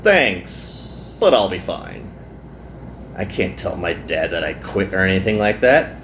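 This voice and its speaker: A man's voice: low-pitched, sing-song, unhurried, fairly bright tone, reverberant, slight background noise, pitched below the performer's own voice, full character voice. A man reading the part of a weary, grumbling young male hero in an anime game.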